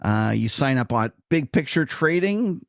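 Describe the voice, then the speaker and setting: A man's voice: mid-range voice, lively intonation, no echo. A chatty, enthusiastic man co-hosts a podcast and leads an interview in a mid-range voice. The sound is dry and close, with no room around it. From Canada. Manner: chatty, enthusiastic, quick to laugh. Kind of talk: casual podcast chat and interview.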